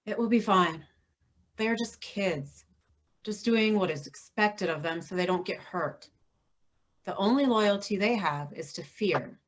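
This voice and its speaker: A woman playing a cool, steady female vigilante in a staged play reading. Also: medium pitch, a little slow, lightly acted. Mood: reassuring.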